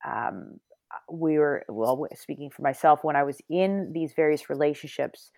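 Middle-aged woman author, reflective, halting interview answers, dry close mic. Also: deep voice, gravelly, darkish tone, no echo. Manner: reflective, many restarts and hedged pauses.

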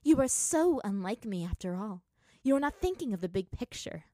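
A woman's voice: mid-range voice, sing-song, moderate pace, dark tone, dry recording, close to an everyday voice. A woman voicing a malevolent, unhinged princess apparition in a story, proud, taunting and grandiose. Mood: scornful, persuasive.

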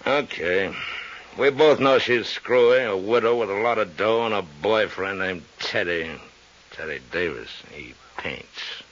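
An actor playing a shifty, world-weary gambling boss and former bootlegger in a 1950s radio drama, gruff, blunt and slangy, with an American accent. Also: male voice, lowish voice, unhurried, fairly bright tone. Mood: shifty, confiding. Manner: blunt, slangy tough-guy talk. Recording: dull old radio recording.

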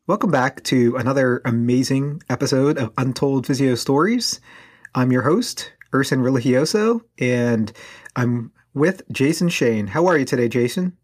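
A man's voice: mid-range voice, animated, normal speed. A man hosting a podcast with casual, joking and teasing banter. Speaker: podcast host.

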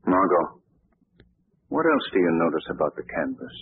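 Shrewd gentleman sleuth, old radio drama, measured probing questions, gravelly voice, lo-fi broadcast sound. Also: sits low, sing-song, a little hiss or hum. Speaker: gentleman detective. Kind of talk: 1940s radio acting.

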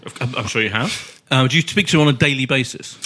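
A man's voice: mid-range voice, fast, bright, forceful tone. A man hosting a football podcast in casual chat, stuffed up with a cold, chatty and self-mocking. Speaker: podcast host.

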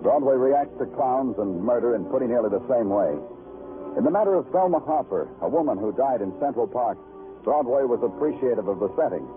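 A man's voice: medium pitch, expressive, fairly quick, music in the background, natural voice. A man playing a world-weary, terse American police detective in an old radio crime drama, clipped and sardonic. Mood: brooding. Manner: clipped, sardonic, hard-boiled. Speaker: lead actor and narrator. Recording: muffled vintage radio broadcast recording.

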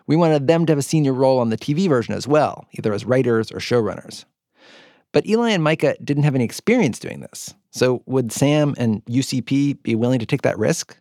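Creaky-voiced American man narrating a podcast. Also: mid-range voice, darkish tone, no echo, studio quality. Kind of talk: scripted narration, conversational storytelling.

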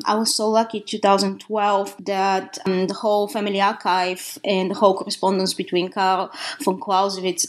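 A woman from Bulgaria with a Bulgarian accent, talking as a guest author in a podcast interview, pausing often with restarts and ums. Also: mid-range voice. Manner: halting, many restarts and ums.

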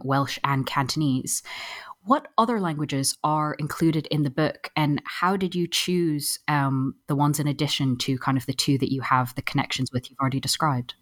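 Low-voiced woman podcast host. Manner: measured, polite, frequent ums. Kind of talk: podcast interview.

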